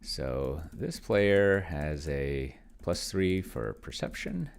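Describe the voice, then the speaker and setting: Deep, creaky-voiced man thinking aloud. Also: expressive. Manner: muttering to himself, slowing as he dictates while typing. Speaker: solo tabletop gamer and video host. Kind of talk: casual think-aloud game commentary. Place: indoors at a computer, keyboard clicks behind.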